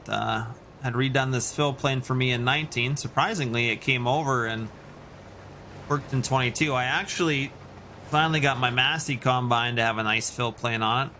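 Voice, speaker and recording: A man's voice: mid-range voice, fairly even intonation, normal speed, sharp tone, slight background noise. A Canadian man chatting casually and in a rambling way as he hosts a video game livestream and responds to his chat. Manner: rambling, reacting to chat messages.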